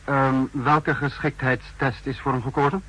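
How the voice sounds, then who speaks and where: An actor playing a stern, sceptical high-ranking leader in a 1960s radio drama, formal and authoritative. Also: male voice, level tone, moderate pace, bright, forceful tone, breathy edge. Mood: sceptical, commanding. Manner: formal, authoritative, clipped commands. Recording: muffled-edged vintage 1960s radio recording.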